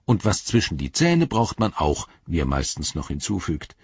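A man's voice: deep voice, wildly swooping pitch, moderate pace, breathy edge, no echo, muffled recording, heavily acted. A man voicing a plain-spoken, loyal but suspicious halfling servant for an audiobook, in a homely, grumbling manner. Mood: homely encouragement. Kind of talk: audiobook character voice.

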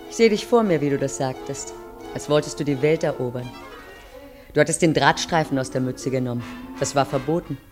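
A woman's voice: a bass voice, brisk, darkish tone. An actress playing a weary railwayman's wife in a 1950s radio play, addressing her husband intimately and dramatically. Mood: reminiscing. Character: weary, pleading railwayman's wife looking back on youth. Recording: 1950s radio recording over music.

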